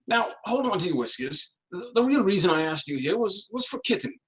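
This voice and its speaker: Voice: medium pitch, big pitch swings, a little fast, fairly bright tone, poor audio. An actor in a comedic script read voicing a bumbling, henpecked male sphinx cat husband, hesitant, shifty and chummy. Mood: sly, hesitant.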